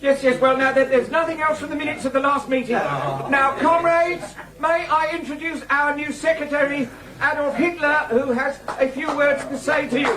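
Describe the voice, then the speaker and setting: An actor playing a fussy, earnest party chairman, haltingly addressing a meeting in a radio drama. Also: very high-pitched, a bit bright. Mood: earnest, flustered. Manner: halting, procedural, straining to be heard. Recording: distant, echoey hall with crowd.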